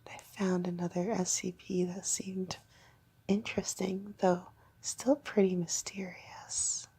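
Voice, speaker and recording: Sinister, teasing fox girl for ASMR horror roleplay, woman performer: husky, whispered, unhurried delivery. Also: fairly low pitch, little pitch movement, mellow, full character voice. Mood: secretive, sly. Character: creepy, teasing fox girl creature held in containment.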